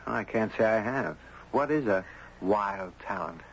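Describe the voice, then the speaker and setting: A man's voice: lowish voice, expressive, measured pace, creaky, husky, exaggerated voice. An actor playing an earnest, inquisitive man in a 1970s radio drama. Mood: puzzled. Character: earnest, inquisitive man, entranced by a strange girl. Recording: muffled, noisy 1970s radio recording.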